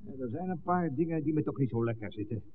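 A man's voice: on the high side, lively intonation, fast talker, close to an everyday voice. An actor playing a deferential, uneasy village policeman in a 1960s Dutch radio play, hesitant and roundabout. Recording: tinny, noisy old radio recording.

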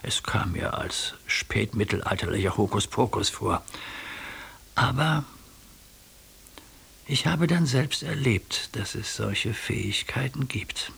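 A man's voice: fairly low pitch, lively intonation, takes it slowly, creaky, pauses often, fairly bright tone, breathy edge, in a whisper, exaggerated voice. An actor in a radio drama playing an earnest, ailing older man who reflects on mystical experiences with educated diction. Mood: earnest, reflective. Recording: faint tape hiss.

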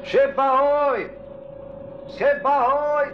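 An actor playing a blunt, wary seafaring adventurer in a 1980s school radio play. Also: male voice, very high voice, drawn-out words, background noise, exaggerated voice. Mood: urgent, calling out. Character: gloomy, wary seafaring adventurer, male companion. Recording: dull lo-fi 1980s radio recording.

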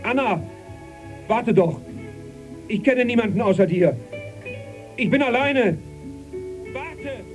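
An actor playing an anxious, dreamy town archivist longing to escape, in a 1980s German radio drama with earnest, musing, literary delivery. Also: male voice, very high-pitched, lively intonation, slow, long pauses, over music, heavily acted. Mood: desperate pleading. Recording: noisy cassette copy of radio play.

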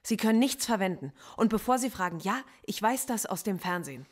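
A defiant, sharp-tongued philosophy professor under interrogation in a radio play, voiced by a woman. She argues in precise, cutting sentences and stands her ground. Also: medium pitch, animated, brisk, close to an everyday voice.

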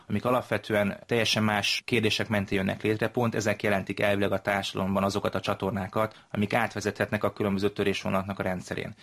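A man with a fairly low, gravelly voice answers as an interviewed researcher. His delivery is measured and analytical, with very little movement in pitch. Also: fairly bright tone. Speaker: social researcher interviewed as guest expert.

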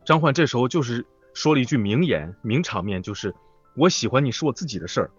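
A man with a husky voice talking casually as a voice actor guest in an interview. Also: mid-range voice, animated, fast talker. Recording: dull online call audio, music behind. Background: music.

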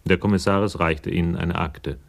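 A man's voice: low voice, fairly even intonation, normal speed, gravelly. A male actor narrating a German radio crime drama in a detached, dry, literary storytelling style.

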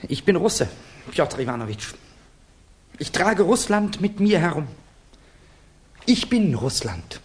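An actor playing a guarded, brooding Russian exile in a German radio play, speaking in a terse, grave, defensive way. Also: male voice, a little high, very animated, slow pace, long pauses, slightly rough, exaggerated voice.

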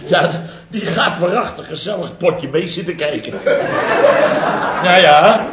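An actor playing a boastful middle-aged contractor, telling a comic story in a theatrical, chatty way for a 1970s radio comedy serial. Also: male voice, high-pitched, slow, echoey room, highs cut off, heavy background noise. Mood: mocking.

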